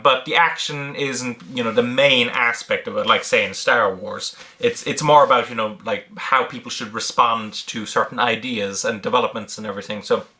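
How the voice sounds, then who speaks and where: A man's voice: medium pitch, bright, forceful tone. A man from Ireland with a blended Irish, British and American accent, casually answering questions in an unscripted, hesitant way, thinking aloud with many ums. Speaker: online video creator answering viewer questions.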